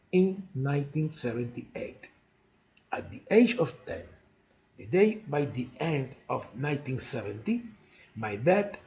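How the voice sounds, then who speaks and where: Cuban guy in his 60s, spanish accent, bit high pitched, telling his life story in careful halting english. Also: darkish tone, a little hiss or hum, low-quality recording. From Cuba. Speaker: chess player narrating own life story. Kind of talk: autobiographical monologue.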